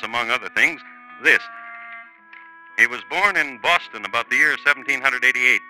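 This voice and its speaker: A man playing a wry, genteel crime storyteller in formal, deliberate 1950s radio narration. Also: medium pitch, sharp tone, rough recording. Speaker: narrator and host of a crime drama. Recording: 1950s radio broadcast recording.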